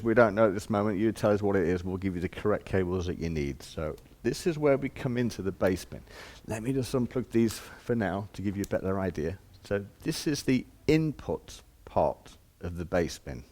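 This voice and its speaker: A man's voice: fairly low pitch, animated, brisk, few pauses, darkish tone. A man giving an informal hands-on demonstration as a shop presenter, explaining step by step in plain, casual words.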